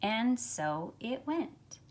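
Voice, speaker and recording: A middle-aged woman, a teacher, speaking expressively and deliberately as if to young students during a picture book read-aloud. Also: a little high, big pitch swings. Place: carpeted room at home, indoors. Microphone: phone at arm's length.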